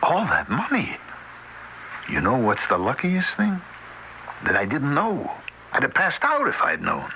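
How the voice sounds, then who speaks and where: An actor playing a determined, anxious middle-aged card player, excitable and colloquial, in a radio drama. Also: male voice, medium pitch, unhurried, pauses often, full character voice. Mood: excited, startled. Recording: noisy old radio drama recording.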